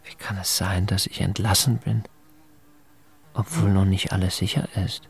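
A man's voice: sits low, little pitch movement, vocal fry, husky, full character voice. An actor playing a bewildered, hesitant male hospital patient of around forty in an understated radio drama. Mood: uneasy, doubtful. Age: 40s. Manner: hesitant, halting, quietly doubtful.

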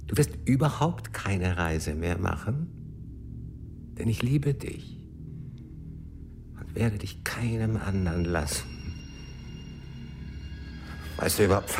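A brooding, jilted middle-aged husband in a radio play. His voice sits fairly low and he talks very slowly, with solemn, halting pauses and a desperate undertone. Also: creaky, full character voice. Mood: bitter, resolute. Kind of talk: radio drama acting.

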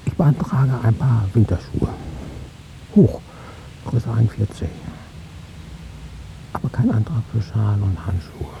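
An older actor in a radio drama playing a weary, stubborn old psychiatric patient who speaks in terse, brooding fragments. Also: male voice, fairly low pitch, lively intonation, takes it slowly, creaky, pauses often, highs cut off, noisy background, full character voice. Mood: agitated, defiant. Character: weary, stubborn old male psychiatric patient, former East German dissident.

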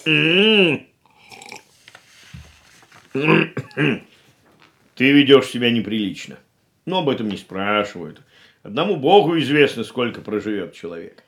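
An actor playing a fussy, thrifty older uncle in a radio drama, grumbling and admonishing. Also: male voice, mid-range voice, very animated, moderate pace, a bit bright. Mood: scandalised. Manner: grumbling, chuckling, hemming, admonishing.

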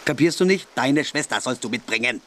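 A man's voice: mid-range voice, expressive, a little fast, fairly bright tone, a little hiss or hum, natural voice. A man playing a bullying teenage boy in a radio play, sly and falsely friendly, taunting and giving orders. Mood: coaxing, menacing.

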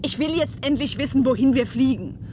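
Eine Schauspielerin spielt im Hörspiel eine empörte, scharfzüngige Frau, die beharrlich und sarkastisch Antworten fordert. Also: female voice, brisk, lightly acted. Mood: indignant. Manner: insistent, sarcastic, formal. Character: indignant, sharp-tongued woman demanding answers about her abduction. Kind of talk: German radio play acting. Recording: radio play with clear rumbling noise.

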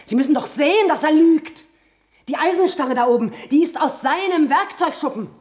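Bitter, high-voiced woman with swooping delivery. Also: animated, normal speed. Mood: bitter, scornful. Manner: sharp-tongued, insistent, scornful. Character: bitter, accusing sister, hard and spiteful. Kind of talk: German radio crime play acting.

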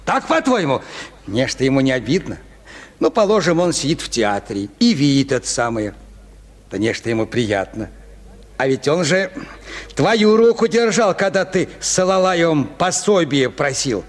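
A man's voice: on the high side, big pitch swings, normal speed. A man acting a cautious, grumbling petty official in a radio play, querulous and admonishing. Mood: indignant, reproachful. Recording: reverberant hall-like studio.

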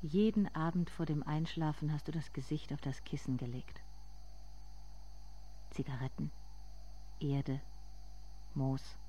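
An actor performing a literary radio drama monologue as a haunted inner narrator, speaking in deliberate, intense fragments. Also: mid-range voice, steady tone, dark tone, a little hiss or hum, close to an everyday voice. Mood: brooding, restrained.

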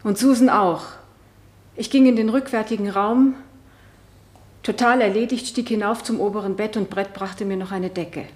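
A woman's voice: medium pitch, fairly even intonation, moderate pace, a little mellow, close to an everyday voice. A woman playing a shaken wife on the witness stand in a 1970s German radio drama. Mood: hesitant, defensive. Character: shaken wife testifying at her husband's murder trial. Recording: roomy radio play studio sound.

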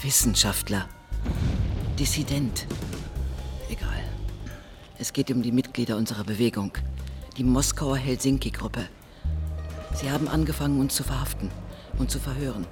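An actor playing an earnest Soviet dissident in a German radio drama, speaking urgently and secretively in clipped phrases. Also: male voice, mid-range voice, moderate pace, long pauses, close to an everyday voice. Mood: earnest, impatient. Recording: music behind the voice.

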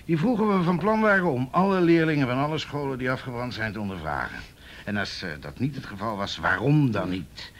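An actor playing a weary, wry, middle-aged police officer in a Dutch radio play, dry and hesitant in manner. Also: male voice, medium pitch, animated, fairly quick, lightly acted. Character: weary, wry older male police officer in youth affairs.

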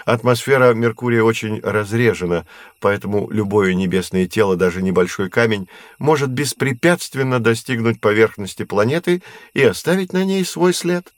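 Patient professor played by a male actor, low register, measured teaching delivery, dry close sound, educational audio drama. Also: dry recording, close to an everyday voice. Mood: instructive. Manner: explanatory, measured, didactic. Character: male astronomy professor, patient, knowledgeable teacher of curious children.

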